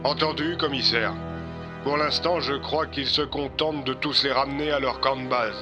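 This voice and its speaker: A man's voice: mid-range voice, fairly even intonation, moderate pace, music in the background. A man playing a dutiful, matter-of-fact police captain, heard through a phone filter. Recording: dull, quiet phone-call sound.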